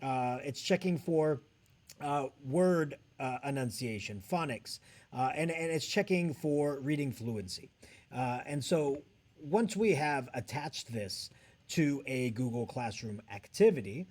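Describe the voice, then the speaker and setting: A man with a mid-range voice gives a software walkthrough. He explains each step as a trainer would, recorded at his computer as a screencast. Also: medium pitch, expressive, a little slow. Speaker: edtech trainer and presenter.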